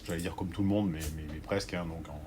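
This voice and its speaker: A man in his forties from southwest France, the guest, talking through his career slowly with many fillers and restarts. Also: deep voice, steady tone, mellow, faint music behind. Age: 40s. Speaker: organic grocery owner, guest. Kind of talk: conversational interview.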